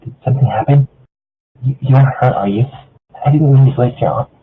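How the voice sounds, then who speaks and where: A man voicing a gentle, timid female cartoon pony, hesitant and apologetic, in a muffled recording. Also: fairly quick, slight background noise, lightly acted. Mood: worried, apologetic. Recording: muffled low-fidelity voice chat.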